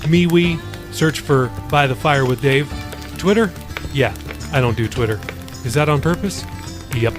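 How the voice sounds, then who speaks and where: A man narrating and hosting in an expressive, conversational way. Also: a little high. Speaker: author and podcast host reading own novel. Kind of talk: audiobook narration and podcast outro.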